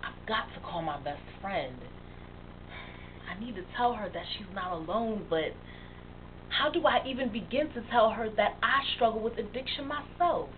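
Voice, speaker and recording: A woman's voice: mid-range voice, close to an everyday voice. Keisha, a woman playing an earnest confessing young woman. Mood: anxious. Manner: earnest, emotional, halting confession. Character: young woman confessing past addiction to friend. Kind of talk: dramatic monologue. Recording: tinny phone-like sound with faint hiss.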